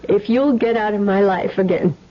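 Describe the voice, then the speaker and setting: A woman's voice: mid-range voice, moderate pace, lightly acted. An actress playing an anxious but defiant wife guarding her family, strained and earnest in an old radio drama. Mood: strained, firm. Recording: dull old-time radio recording.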